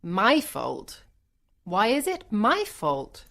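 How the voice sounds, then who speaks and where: Casting: sensitive, romantic young woman for audiobook drama played by an actress, medium pitch, unhurried, widely varied intonation. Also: huge pitch swings, vocal fry, fairly bright tone, exaggerated voice. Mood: hurt, annoyed. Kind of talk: acted audiobook dialogue.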